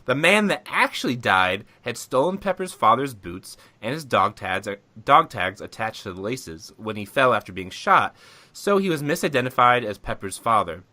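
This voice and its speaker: A man's voice: on the low side, sharp tone, no room sound. A man hosting a comedy podcast, giggly and easily cracked up as he chats and reads aloud casually. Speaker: podcast host. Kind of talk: casual comic podcast chat, reading a summary aloud.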